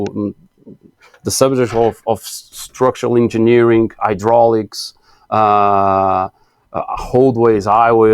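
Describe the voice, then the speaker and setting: Man in his 30s, Portuguese accent, low voice, halting, dry close sound. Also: creaky, a little mellow, dry recording. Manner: hesitant, many fillers and restarts. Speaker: guest engineer. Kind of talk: casual interview chat.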